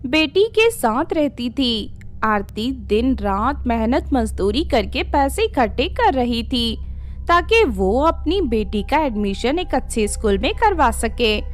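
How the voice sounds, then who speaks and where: A woman narrates a scripted story. Her voice sits fairly high as she tells the tale as its storyteller. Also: big pitch swings, takes it slowly.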